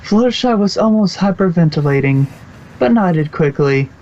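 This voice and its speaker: A man's voice: high voice, animated, normal speed, a little mellow, dull audio. A man reading scripted storybook narration for a fan fiction audio production.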